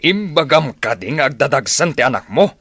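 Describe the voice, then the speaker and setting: A man's voice: a little high, big pitch swings, a little fast, fairly bright tone, breathy edge, no echo, full character voice. Man acting as harsh Ilocano father, middle-aged, scolding, swooping intonation, dry close sound, radio drama. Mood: outraged. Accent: Filipino, Ilocano. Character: stern, angry father who disowned his pregnant daughter.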